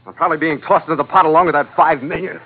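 An actor playing a tough, cynical, wisecracking sea captain with blunt, slangy delivery in an old-time radio drama. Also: male voice, fairly high pitch, fairly even intonation, rapid-fire, gravelly, a little hiss or hum. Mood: bitter, fed up. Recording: tinny old radio broadcast with music behind.

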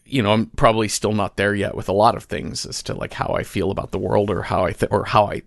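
A man with a fairly low voice and a noticeable creak talks as a podcast guest. He works through his thoughts casually, restarting and circling as he reflects. The sound is dry and close. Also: little pitch movement, dry recording. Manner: halting, thinking aloud, peppered with "like". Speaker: podcast guest, fiction writer. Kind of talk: casual reflective interview conversation.

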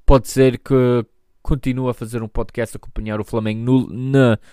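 A man in his thirties with an American accent speaking European Portuguese, hosting a solo podcast and often pausing and restarting as he searches for words. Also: medium pitch, little pitch movement, warm tone, clear, resonant tone, dry recording, studio quality. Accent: European Portuguese, spoken by an American. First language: English. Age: 30s. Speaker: podcast host.

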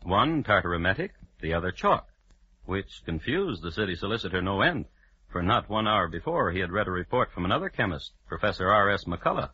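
A man's voice: low-pitched, big pitch swings, brisk, sharp tone, husky, heavily acted. A man narrating an old-time radio crime drama with formal diction and dry irony. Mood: wry, measured. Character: formal, drily ironic narrator of a true-crime story. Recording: muffled, noisy old radio recording.

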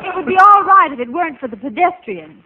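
Perky, sassy secretary, high animated voice, theatrical timing, thin vintage radio comedy sound. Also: female voice, quick pace, narrow, tinny audio, very noisy. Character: sassy, scatterbrained yet wordy female secretary. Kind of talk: 1940s radio comedy sketch acting.

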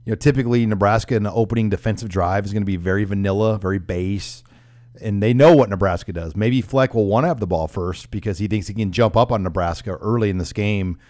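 Male sports radio host, American, low register, conversational delivery, dry studio recording. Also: fast, darkish tone, no echo.

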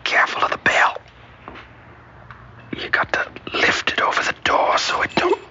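A desperate, furtive thief in vintage radio drama style. The voice is tense and sharp, often hushed into urgent whispers, on a dull old recording. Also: male voice, moderate pace. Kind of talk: 1960s American radio drama acting.

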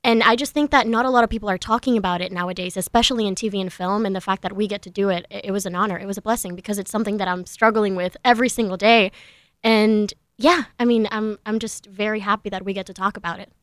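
A woman's voice: mid-range voice, steady tone, fast talker, no echo. A young woman from the Dominican Republic, a guest actress answering interview questions earnestly in a studio. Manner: earnest and open, repeats words for emphasis. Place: podcast studio.

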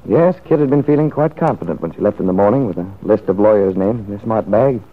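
A male narrator of a 1940s-style radio serial, reading scripted story. His voice is low and slightly rough, and the recording is muffled and narrow like an old broadcast. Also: lively intonation, fast.

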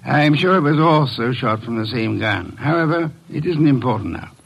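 An actor playing an imperious detective who speaks formally and precisely, in old-time radio mystery style. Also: male voice, medium pitch, animated, normal speed, vocal fry, husky. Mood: stern, deliberate. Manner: commanding, formal, precise diction. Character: imperious, deliberate male detective, a mastermind unmasking a killer. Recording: muffled, dull old radio recording.

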